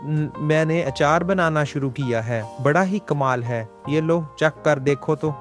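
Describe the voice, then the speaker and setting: A man voicing an earnest, humble village farmer and husband in a Hindi cartoon story, with expressive delivery. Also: a little high, unhurried, close to an everyday voice. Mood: cheerful, eager. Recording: background music under the voice.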